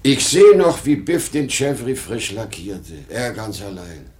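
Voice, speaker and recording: A man's voice: fairly low pitch, animated, a little slow, darkish tone. An actor playing a weary, rambling old traveling salesman in a 1950s German radio drama, swinging between outbursts and murmurs. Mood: nostalgic. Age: older.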